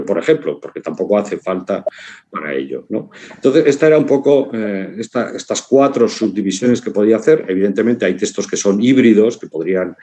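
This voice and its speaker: A man in his fifties with an accent from Spain, giving an informal conference talk over a video call in a reflective, halting way, often checking in with short tags. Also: fairly low pitch, warm tone. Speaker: congress speaker, writer and philosopher. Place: living room at home, on a video call.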